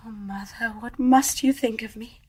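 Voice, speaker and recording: Anguished young wife, higher voice. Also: female voice, moderate pace, darkish tone, breathy edge. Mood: ashamed, pleading. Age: young adult. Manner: earnest, emotional, confessional. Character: anguished, impassioned young wife, ashamed yet defiant in love. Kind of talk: stage-play radio drama acting.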